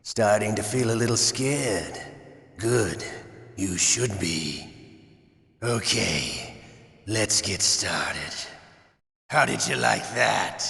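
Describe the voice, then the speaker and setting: Gravelly, sadistic interrogator, echoing. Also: male voice, mid-range voice, sharp tone, full character voice. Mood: sadistic glee. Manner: menacing, taunting, drawn-out theatrical delivery. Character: sadistic, theatrical older male Russian torturer and interrogator. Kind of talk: video game voice acting. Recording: echoey hall with long reverb.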